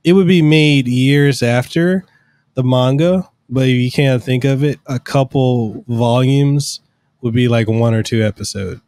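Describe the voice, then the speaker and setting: A man's voice: mid-range voice, unhurried, a little mellow, no room sound. Man, podcast co-host, casual rambling talk, dry close studio mic. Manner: loose, rambling explanations with fillers. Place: home studio room. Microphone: studio mic on arm, headphones.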